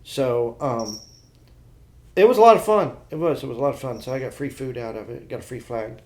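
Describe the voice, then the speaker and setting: A man's voice: medium pitch, fairly quick. Male American co-host, middle register, informal conversational delivery. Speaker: podcast co-host. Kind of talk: casual chat.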